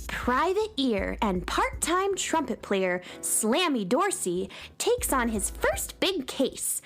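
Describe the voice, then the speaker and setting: A woman's voice: sits high, heavily acted. A woman playing a perky, sweet young space cowgirl in a children's radio drama, gushing with wide-eyed enthusiasm.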